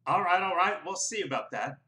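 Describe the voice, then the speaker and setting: A man reading aloud, voicing a loud, bullying, heavyset small-town official who barks his questions. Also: animated, fairly quick. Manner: booming, barking questions. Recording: roomy echo.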